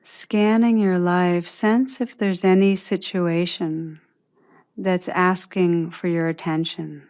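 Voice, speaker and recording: A woman narrates a guided meditation in a somewhat low voice. She speaks very slowly and deliberately, with a gentle delivery and long, open pauses between her phrases. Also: fairly even intonation, smooth.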